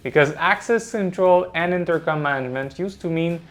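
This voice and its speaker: A man delivering scripted corporate explainer narration in a measured, instructional way. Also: a little high, fairly bright tone. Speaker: presenter of a product explainer. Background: background music.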